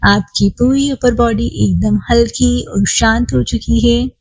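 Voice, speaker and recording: A woman guides a meditation at a very slow pace. She sounds calm and soothing, leaving long, unhurried pauses as she instructs the listener to relax. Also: fairly high pitch, breathy edge. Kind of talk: guided body scan meditation.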